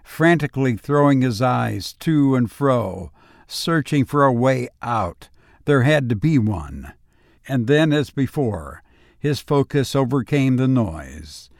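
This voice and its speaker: Slow, suspenseful male horror narrator. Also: mid-range voice, very animated, dry recording, clean studio sound. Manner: deliberate, suspenseful pauses. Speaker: narrator and host of audio story show. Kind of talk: dramatic scripted horror narration.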